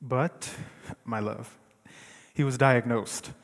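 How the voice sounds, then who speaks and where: A man's voice: medium pitch, steady tone, slow pace, vocal fry. A man acting in a stage play as the exasperated brother of a troubled artist, reasoning insistently and theatrically. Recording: echoey theatre hall.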